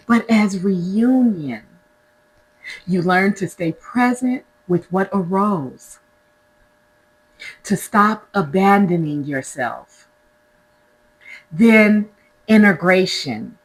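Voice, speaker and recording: A woman guiding a scripted meditation in a gentle, deliberate manner, pausing between short phrases. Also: medium pitch, husky, rough recording. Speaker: meditation guide, host. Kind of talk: guided meditation, scripted spiritual narration.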